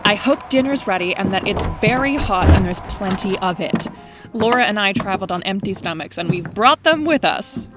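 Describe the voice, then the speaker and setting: A woman's voice: medium pitch, expressive, moderate pace, close to an everyday voice. A woman playing a blunt, wisecracking house guest in an audio drama, with a dry, wry way of talking. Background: music.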